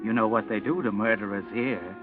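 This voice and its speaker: An actor playing a taunting, urgent narrator in a 1940s radio crime mystery. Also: male voice, lowish voice, steady tone, brisk, lightly acted. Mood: ominous. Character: ominous, taunting male narrator of a crime tale. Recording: tinny 1940s radio recording, music behind.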